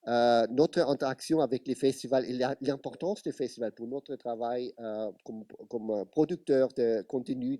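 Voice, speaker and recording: A man's voice: mid-range voice, warm tone, no echo, studio quality. A man with an Italian accent, a documentary producer on a panel, speaking French as a second language and often stopping to find his words. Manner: hesitant, searching for words in French. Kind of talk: panel talk.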